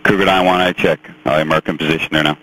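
A man's voice: rumbling low, steady tone, quick pace. A man working as an air traffic controller, talking over aviation radio.

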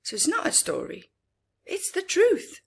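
A middle-aged woman reading a story aloud to young children, expressively, with dramatic pauses and chatty asides. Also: extremely high pitch, huge pitch swings, gravelly. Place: living room, indoors.

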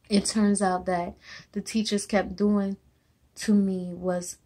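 A young woman from Jamaica with a Jamaican accent, slowly reading a personal essay to a webcam in a small room at home. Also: mid-range voice, a little mellow. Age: young adult. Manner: slow, halting reading with restarts. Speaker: student. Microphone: webcam or laptop camera close up.

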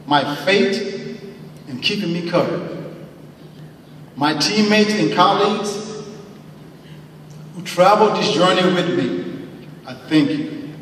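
A man's voice: a little high, a bit bright, a little hiss or hum. Earnest man speaking in an echoing hall. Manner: earnest, slow emphatic phrasing with thanks and faith. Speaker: hall of fame inductee. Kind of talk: acceptance speech. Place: large banquet hall, at a podium. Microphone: podium mic, recorded from far in the audience. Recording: echoey hall audio from far off.

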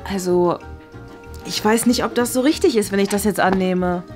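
A woman's voice: mid-range voice, moderate pace, a little mellow, natural voice. A young woman playing a reluctant schoolgirl with scruples in a comedy sketch, hesitant, awkward and casual. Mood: hesitant, tempted. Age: young adult. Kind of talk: scripted classroom comedy sketch. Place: small bright classroom, indoors. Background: faint music.

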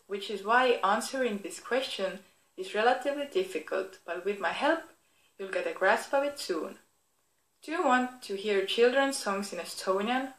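A woman's voice: medium pitch, close to an everyday voice. Female actor as clever, friendly storybook teddy bear toy. Scripted children's demo, teacherly and encouraging, roomy echo. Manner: encouraging, instructive. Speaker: talking toy presenting itself. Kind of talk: scripted product demonstration for children.

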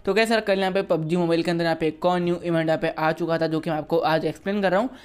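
A man's voice: fairly high pitch, steady tone, fast, clear, resonant tone. A young man hosting a gaming video, explaining things casually and directly to viewers with repeated verbal tags. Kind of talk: casual explainer narration for a mobile game. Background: background music.

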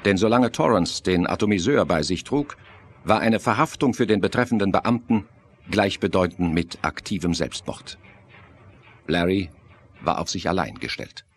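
A man's voice: low voice, animated, normal speed, slight background noise. A man giving scripted, dramatic narration for a German thriller audio drama.

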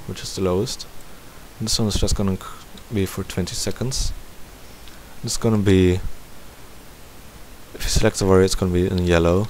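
Low, gravelly man talking through a tutorial. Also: a little mellow, low-quality recording. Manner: thinking aloud while working. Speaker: tutorial host. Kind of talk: casual screen-recorded game tutorial commentary. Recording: faint background hiss.

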